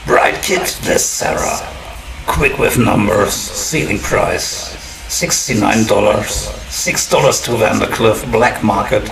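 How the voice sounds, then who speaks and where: A man playing a ghoulish, mocking crypt-keeper who narrates a horror audio drama in a deep, gravelly, slow voice. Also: expressive, full character voice. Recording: roomy, distant voice under music.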